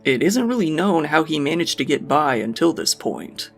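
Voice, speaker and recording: A man giving a scripted true crime narration in an even, measured reading. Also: a little high, gravelly, soft background music.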